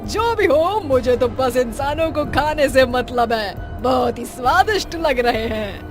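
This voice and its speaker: A woman voicing a bloodthirsty female witch in a cartoon horror story, speaking slowly with gloating cackles. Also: high voice, expressive. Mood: greedy. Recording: background music under voice.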